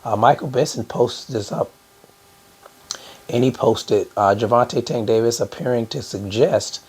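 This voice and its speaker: Man, hoarse, lowish voice, casual host, filler-heavy chat. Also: a little mellow. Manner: conversational, many "uh" fillers, addresses "guys". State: hoarse from a cold. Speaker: boxing YouTube host. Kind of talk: casual news commentary to viewers.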